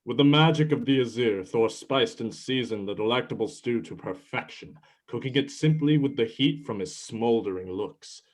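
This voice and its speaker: A man's voice: mid-range voice, moderate pace, hardly any pauses. A man reading scripted narration for a dramatic reading of a myth play.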